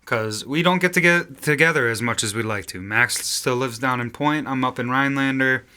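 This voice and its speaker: A man co-hosting a podcast in casual chat, with a loud voice, rambling on and swearing freely. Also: medium pitch, normal speed, clean tone. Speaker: podcast co-host and singer.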